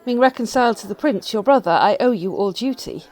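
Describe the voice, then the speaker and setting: A woman's voice: a little high, animated, fairly quick, darkish tone, slight background noise, lightly acted. A woman playing a gracious, courteous lady of a great house in a Shakespearean radio play, recorded at home. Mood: gracious welcome. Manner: courteous, formal.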